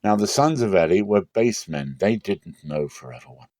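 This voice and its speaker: A man narrating scripture as a dramatic reading, measured, with phrases falling at their ends. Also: fairly low pitch, animated, a little mellow, dry recording, clean studio sound. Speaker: Bible reader.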